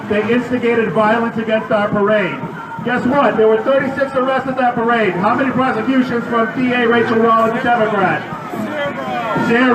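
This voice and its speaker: A man delivering a loud protest speech at an outdoor rally, firing questions at the crowd. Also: extremely high pitch, background noise. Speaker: rally speaker. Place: outdoor city square. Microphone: public address system heard from a distance. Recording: echoey outdoor PA from far off.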